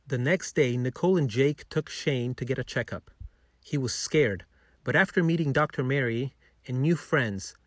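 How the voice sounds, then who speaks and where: A man's voice: mid-range voice, no room sound, clean studio sound. A man narrating a scripted children's bedtime story.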